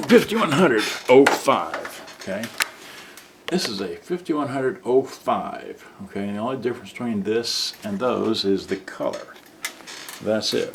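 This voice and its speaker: A man giving a casual, unscripted demonstration as a repairer, halting and muttering his thoughts aloud as he handles gear. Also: fairly low pitch. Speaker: repairer demonstrating cassette players. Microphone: camera on tripod at some distance. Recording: roomy, distant mic, loud background noise.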